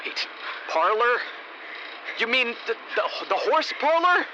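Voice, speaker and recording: A man's voice: very high-pitched, big pitch swings, slow, heavily acted. A man playing a wry, anxious radio station coworker in a comedy audio drama. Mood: alarmed. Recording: dull sound, clear background noise.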